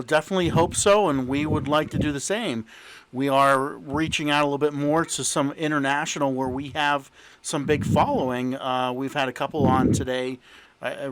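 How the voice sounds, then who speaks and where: A man's voice: mid-range voice, a little hiss or hum, rough recording. A man hosting an interview podcast, talking casually with many fillers and restarts. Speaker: podcast host. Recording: voice over background music.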